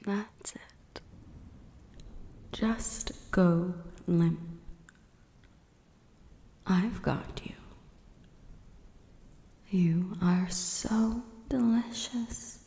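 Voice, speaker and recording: An ancient vampire huntress, acted by a woman, speaks in an intimate ASMR roleplay. Her voice is dark, breathy and whispery, with a gravelly fry in it. She is predatory and seductive, teasing with condescension. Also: mid-range voice, very slow, long pauses, heavily acted. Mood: sated, hungry.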